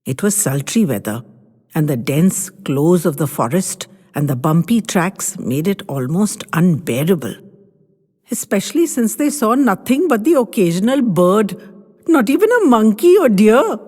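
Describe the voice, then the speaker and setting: A woman's voice: mid-range voice, sing-song, measured pace, darkish tone. A woman voicing a grandchild who tells the family a story with teasing, bossy confidence. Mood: storytelling. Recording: long hall reverb.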